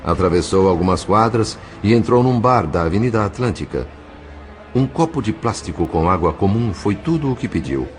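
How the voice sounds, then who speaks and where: A man with a Brazilian accent narrating an audio novel in a measured, solemn, literary way. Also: on the low side, very animated. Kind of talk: dramatic scripted narration.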